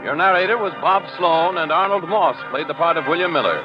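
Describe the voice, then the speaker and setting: A man with a fairly high voice announces in formal, polished 1940s radio style. The old broadcast sound is dull, and music plays loudly behind him. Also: lively intonation, brisk. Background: loud program music.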